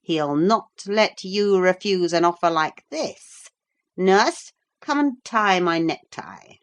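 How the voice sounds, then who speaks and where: A woman's voice: lowish voice, expressive, slow, pitched above the performer's own voice. A woman reading aloud, voicing a greedy, pompous male magician who turns cross.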